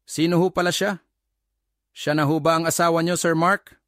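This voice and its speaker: A woman's voice: low voice, fairly even intonation, normal speed, dry recording, clean studio sound, voice pushed down, natural voice. A woman voicing a polite, deferential male security guard in his thirties in an audio story. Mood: respectful. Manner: polite, respectful, servile.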